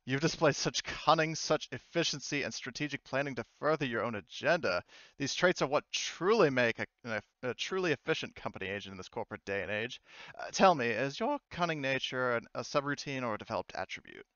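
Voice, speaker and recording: A man voicing a cunning female corporate executive in a tabletop game, measured and businesslike. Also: medium pitch, expressive, fairly bright tone, no room sound, muffled recording, close to an everyday voice. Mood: impressed, probing.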